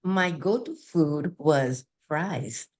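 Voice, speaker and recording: An older woman with a Trinidadian accent talks at a slow, deliberate pace. Her voice sits a little low, and she answers questions in a measured, unhurried way. She breaks now and then into soft laughter. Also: animated. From Trinidad and Tobago. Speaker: guest. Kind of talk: interview conversation.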